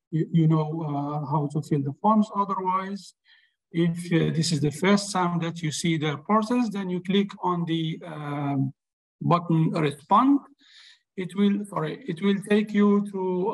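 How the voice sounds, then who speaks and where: A man hosting an online webinar, explaining steps with many pauses and fillers, on a video call mic. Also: a little high, creaky, low-quality recording. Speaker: webinar host. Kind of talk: webinar presentation. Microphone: webcam on a video call.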